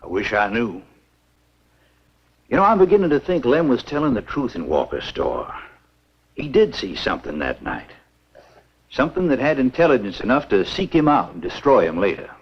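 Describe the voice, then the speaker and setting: Casting: older scientific doctor, husky, lively intonation, grave, lecturing, muffled vintage film audio. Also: male voice, mid-range voice. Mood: grave, pondering. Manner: measured, lecturing. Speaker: film actor. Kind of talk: 1950s B-movie screen acting. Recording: muffled 1950s film soundtrack.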